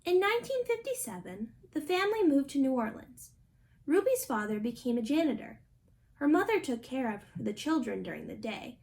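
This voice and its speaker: A woman's voice: high-pitched, big pitch swings, moderate pace, gravelly, darkish tone. A young adult woman reading a picture book aloud as a storybook reader. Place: small indoor room at home.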